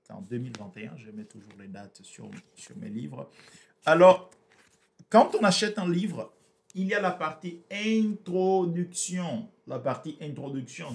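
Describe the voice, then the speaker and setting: A man's voice: on the high side, vocal fry. A man whose first language is Portuguese, explaining a book in a hesitant, repetitive way with many fillers. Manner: hesitant, repeats words, frequent fillers. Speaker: book reviewer. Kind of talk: explanatory book review talk.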